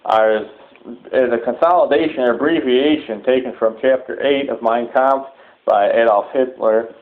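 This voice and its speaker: A man delivering a sermon-like public address in halting, deliberate phrases, repeating words for emphasis. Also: medium pitch. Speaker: preacher giving a public address. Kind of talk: sermon-like extremist political address. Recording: muffled, thin phone-like audio.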